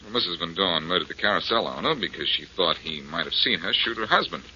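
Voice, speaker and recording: An actor playing a cool, crafty amateur detective in a 1940s radio drama. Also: male voice, low voice, animated, quick pace, pushed and bright, exaggerated voice. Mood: easygoing. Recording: dull, noisy vintage radio recording.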